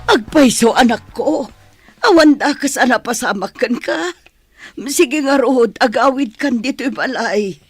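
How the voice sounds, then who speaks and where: A woman's voice: sits high, unhurried, husky, dry recording, soft background music. A woman with a Filipino accent playing an anxious, loving mother of a grown son in an audio drama. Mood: worried, pleading.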